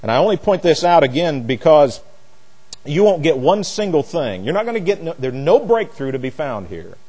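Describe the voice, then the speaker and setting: A man's voice: a little high, sing-song, normal speed, darkish tone, breathy edge, low-quality recording. A man preaching as a pastor in a Bible lesson, speaking in an emphatic, opinionated, plain-spoken and folksy way. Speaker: pastor teaching a Bible study. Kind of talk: sermon, expository Bible teaching.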